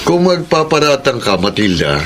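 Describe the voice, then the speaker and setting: A man's voice: mid-range voice, sing-song, moderate pace, fairly bright tone, a little hiss or hum. A man playing a blunt, defensive older brother in a Filipino radio drama, chiding his sister.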